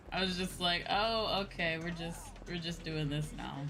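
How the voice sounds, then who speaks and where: A young woman chatting casually on a game livestream, rambling, expressive and informal, swearing freely. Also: fairly low pitch. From outside Japan. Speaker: VTuber game streamer. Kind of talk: casual livestream chat over a video game. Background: game music.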